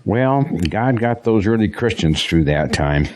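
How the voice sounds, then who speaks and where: An actor playing a devout, steadfast pastor in an audio drama, speaking in an earnest, resolute way. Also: male voice, lowish voice, expressive, measured pace, darkish tone. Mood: resolute, grave. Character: devout, steadfast male pastor facing persecution, married.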